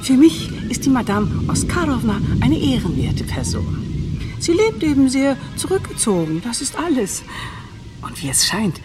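A woman's voice: high-pitched, wildly swooping pitch, moderate pace, over music. An actress playing a mild, discreet, evasive village woman in a radio drama, speaking in a hesitant, placating way. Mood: mildly defensive.

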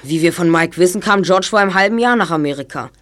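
A woman's voice: fairly low pitch, fast, a bit bright, natural voice. A woman voicing a clever, self-assured young boy detective in a German audio drama, explaining things precisely. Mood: confident, deducing.

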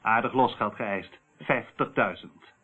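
A man's voice: lowish voice, unhurried, husky, narrow, tinny audio. An actor playing a boastful, cold and ruthless male crime syndicate boss in a radio play, with a mocking, menacing manner. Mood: smug, gloating.

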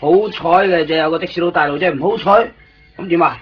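A man's voice: a little high, fast, close to an everyday voice. An actor with a Hong Kong Cantonese accent playing a talkative man who lives in Japan and fusses over a friend, in a 1990s radio drama. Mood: chatty. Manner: talkative, fussing and coaxing. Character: chatty Hong Kong man living in Japan, fussy guide. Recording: dull lo-fi radio broadcast with music.

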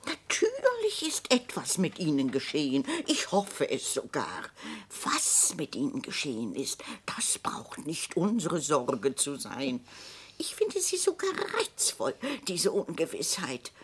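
A woman's voice: low voice, huge pitch swings, takes it slowly, breathy edge, full character voice. An actress playing an imperious, man-hating old consul's widow in a radio play, with a haughty, grandiose delivery. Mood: coldly resolute. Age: older.

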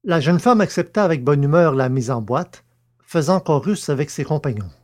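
A man's voice: on the high side, lively intonation, normal speed. A man narrating an audio fiction story, reading scripted prose as a storyteller.

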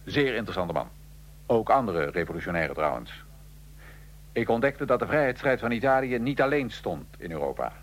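A man acting in a radio drama as a defiant, wry nineteenth-century Italian revolutionary prisoner, telling his story gravely and with irony. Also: on the low side, big pitch swings, brisk, a little hiss or hum. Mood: grave, wry recounting.

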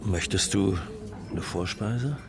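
An actor playing a smitten, eager suitor, gushing and flirtatious, in a radio drama. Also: male voice, fairly low pitch, animated, takes it slowly, gravelly, crowd noise, full character voice. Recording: music behind the voice. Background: music.

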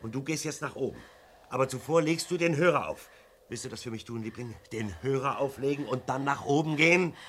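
A man's voice: medium pitch, a little fast, fairly bright tone, a little hiss or hum, natural voice. An actor in a German radio crime drama playing a commanding husband who coaches his frightened wife with urgent, insistent, repeated instructions. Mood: soothing, pleading.

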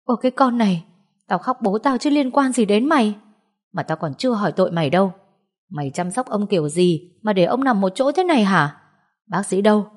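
A woman voicing a rude, grasping middle-aged aunt who wails and scolds loudly in an audio story. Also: mid-range voice, animated, a little fast, natural voice. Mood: scornful. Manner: wailing, scolding, loud.

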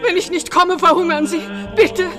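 Poor widowed mom begging, high desperate voice, music under it, radio drama. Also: female voice, moderate pace. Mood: desperate, pleading. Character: desperate widowed young mother, humbly pleading. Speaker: actor.

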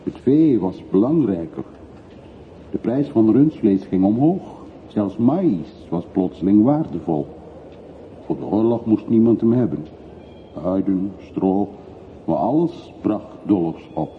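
An actor playing a reserved, reflective middle-aged emigrant returning home in a radio play, speaking in a measured, reticent way. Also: male voice, lowish voice, sing-song. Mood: detached. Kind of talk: radio drama acting. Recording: muffled, noisy old radio play recording.

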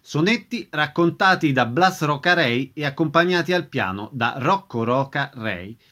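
A man's voice: fairly high pitch, animated, a little slow, fairly bright tone. A man reading a scripted introduction to a show as its announcer.

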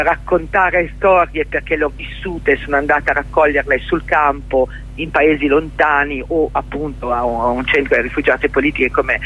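A dull telephone line carries the husky voice of a middle-aged woman. She is a guest on a radio interview, talking through her own work in a conversational way. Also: deep voice. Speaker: interview guest, journalist and stage performer.

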